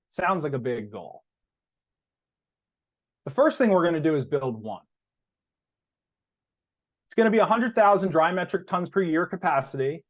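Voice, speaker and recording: A man speaks as a company executive presenting to investors. His voice sits a little high and moves with very animated intonation. He explains in a deliberate way, leaning on the key terms.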